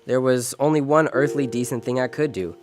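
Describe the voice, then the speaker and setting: An actor playing a world-weary, decent American private detective in an old-time radio drama, wry and clipped. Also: male voice, mid-range voice, a little fast, music in the background, natural voice. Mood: wry narration. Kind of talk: 1940s detective radio drama, first-person narration and dialogue.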